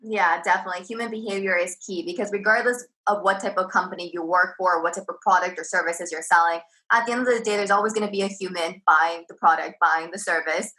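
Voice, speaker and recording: Bright-voiced woman hosting an interview. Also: lowish voice, low-quality recording. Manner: upbeat, quick follow-up questions. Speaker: podcast host.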